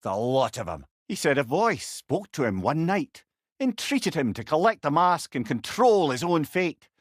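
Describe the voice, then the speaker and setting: Witty older Scottish advisor, talking severed head, animated, dry close sound, eloquent, video game dialogue. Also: male voice, a little high, measured pace, dry recording. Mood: scornful, indignant. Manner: wry, eloquent, old-fashioned turns of phrase.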